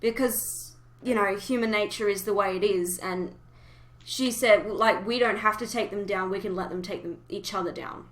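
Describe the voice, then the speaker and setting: A woman hosts a casual fan chat in a bright, forceful voice. She speaks emotively and exclaims readily, often pausing mid-thought before carrying on. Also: medium pitch. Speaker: podcast host. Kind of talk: casual fan discussion of an animated series.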